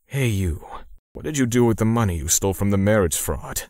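A man playing a cold, righteous torturer for hire who narrates a manga dub in a measured, grim way. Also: fairly low pitch, expressive, darkish tone, dry recording, close to an everyday voice. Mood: menacing.

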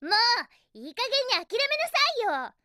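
A woman's voice: very high voice, big pitch swings, moderate pace, slight background noise, full character voice. A woman voicing a cheerful, feisty young hedgehog girl in a video game, with a girlish voice and a perky, sassy, emphatic delivery. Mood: annoyed. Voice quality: bright, girlish. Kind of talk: Japanese video game voice acting.

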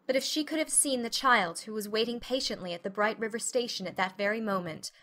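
A woman's voice: fairly high pitch, a little fast, a bit bright. A woman reading scripted literary narration as an audiobook narrator.

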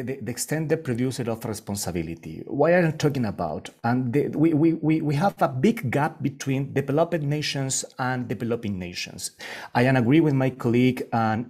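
A man from Chile with a Spanish accent, speaking English as a panelist in an online discussion, earnest and halting with many restarts. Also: mid-range voice, a little mellow, slightly rough.

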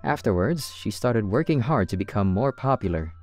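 A woman's voice: very deep voice, normal speed. A woman voicing an eager, easily flustered teenage otaku schoolboy in a manga romcom dub, sometimes hesitant and stammering. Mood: reflective narrating. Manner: excitable, often stammering and hesitant. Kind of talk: manga dub acting with first-person narration. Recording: music behind the voice.

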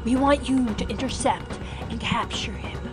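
A woman voicing a vengeful evil talking staff in an amateur comic dub, with an ominous, deliberate manner. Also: a little high, music in the background, close to an everyday voice. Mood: commanding.

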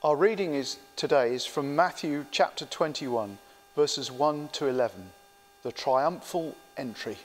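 A man's voice: fairly high pitch, lively intonation, a little slow. A man in his sixties reading scripture aloud as a lay reader in a church service. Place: inside a church, standing with a printed sheet. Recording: reverberant church interior.

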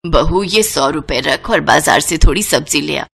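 A woman voicing a gently authoritative elderly village mother-in-law and grandmother in a cartoon moral story. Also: deep voice, expressive, normal speed, creaky, slightly rough, voice pushed down, exaggerated voice. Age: older.

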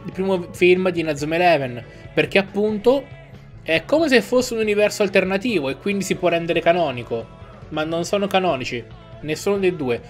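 A young man with a slightly high voice gives casual commentary on an anime dub. He talks in an informal reactor's way, with music from the show playing behind him. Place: indoor room at home. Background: music and sound of the anime playing.

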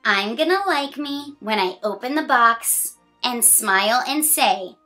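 A woman in her thirties reading a picture book aloud to children in an expressive, encouraging, sing-song way, with chatty, smiling asides. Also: fairly high pitch. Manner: giggly, smiling delivery. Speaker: children's read-aloud host. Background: music.

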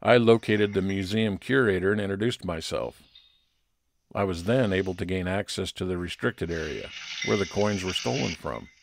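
A man's voice: low voice, normal speed, creaky, a little hiss or hum. A man playing an observant, wry private investigator, matter-of-fact, in old-time radio detective style.

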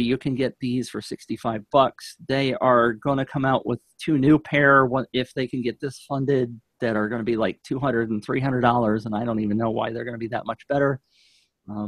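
A man in his fifties speaks as a guest on a tech podcast. His voice sits at a medium pitch, recorded close and dry, and he reviews gear in a casual, chatty way. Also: dry recording. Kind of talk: casual chat, product review. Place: home office indoors. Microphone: studio microphone on shock mount, close up.

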